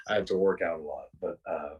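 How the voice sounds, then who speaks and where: A man with a raspy voice chatting casually as a podcast guest, self-deprecating and loose with slang and swearing. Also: sits low, darkish tone, lo-fi sound. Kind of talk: casual interview chat. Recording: distant from the mic.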